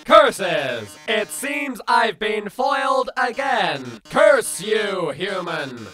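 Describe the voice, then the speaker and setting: A man voicing a flamboyant male TV-host robot who plays a theatrical villain, with drawn-out dramatic delivery, in a fan-voiced game playthrough. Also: high voice, big pitch swings, music in the background, full character voice. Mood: mock outrage.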